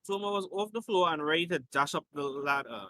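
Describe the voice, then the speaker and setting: A man's voice: a little high, a little fast, pushed and bright, dry recording. A man reading a story aloud in an informal way, as a host who slips in his own asides and corrections.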